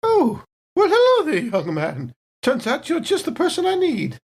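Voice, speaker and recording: A woman's voice: sits high, huge pitch swings, moderate pace, a little mellow, pitched above the performer's own voice, heavily acted. A woman voicing a genteel, coolly confident lady with a dainty, sweetly dangerous manner in a tabletop game. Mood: sweet, sly. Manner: dainty, polite, mock-apologetic.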